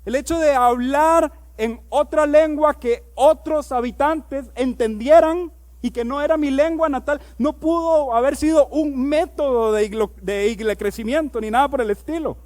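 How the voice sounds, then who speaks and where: A male pastor preaching a sermon. He reads scripture aloud, slows down on key words, and builds to loud, emphatic preaching. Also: extremely high pitch.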